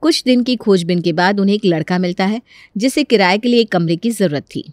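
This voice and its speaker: A woman's voice: mid-range voice, brisk, no room sound, studio quality. A woman reading scripted storytelling narration as the narrator of a tale.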